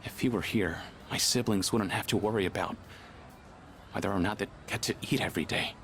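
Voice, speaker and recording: A man's voice: lowish voice, brisk, gravelly, fairly bright tone, a little hiss or hum. Easygoing, earnest teenage boy. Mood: wistful. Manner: casual, chatty, sincere. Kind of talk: video game voice acting.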